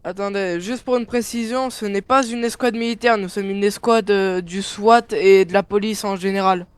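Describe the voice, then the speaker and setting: A man's voice: sits high, steady tone, normal speed, clean tone, dry recording. A man improvising hesitantly in a game roleplay as a police squad survivor talking over the radio. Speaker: player in a zombie survival roleplay.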